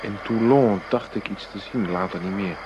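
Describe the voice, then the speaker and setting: A man's voice: fairly low pitch, moderate pace, highs cut off, natural voice. An actor playing a wary, armed undercover policeman keeping watch in a radio drama. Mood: wary, tense. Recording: very noisy radio play with ambience.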